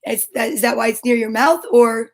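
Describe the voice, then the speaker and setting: Female host, high-pitched voice, informal and inquisitive, casual conversational delivery. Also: a little fast. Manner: informal, curious questioning. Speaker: host of a children's story time show. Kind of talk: casual interview chat.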